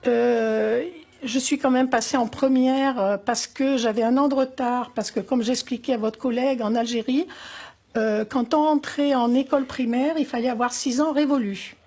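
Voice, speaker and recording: Hesitant older woman, slightly high voice. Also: steady tone, darkish tone. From Algeria. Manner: hesitant, many pauses and fillers; reflective, wistful. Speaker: interviewee. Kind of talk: oral history interview.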